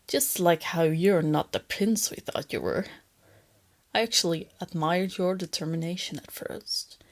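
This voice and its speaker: A woman voicing a female lamia captor in an ASMR roleplay, soft and breathy, teasing her captive with smug, possessive tenderness. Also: on the low side, unhurried, close to an everyday voice. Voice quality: breathy, often dropping to a whisper. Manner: soft, taunting, condescending, intimate.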